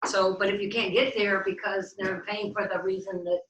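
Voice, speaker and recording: A woman's voice: mid-range voice, flat delivery, normal speed, fairly bright tone, muffled recording. A woman in a group discussion thinking aloud, reflective and repeating herself as she works through an idea. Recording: roomy, distant, light background noise.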